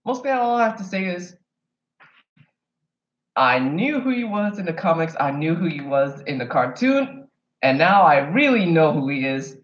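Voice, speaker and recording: A man's voice: on the high side, very animated, moderate pace, a bit bright. A man from Kenya talking casually and enthusiastically to the camera, rambling and wandering off his point now and then. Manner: enthusiastic fan, emphatic. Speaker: vlogger. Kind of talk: casual movie review monologue. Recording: echoey room, dull lo-fi sound.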